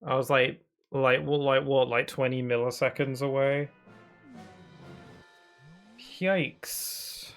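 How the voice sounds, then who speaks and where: A man streaming a game, chatting casually and thinking aloud, a bit sniffly from hay fever, with bursts of excitement. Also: mid-range voice, measured pace, faint music behind. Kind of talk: casual gaming commentary.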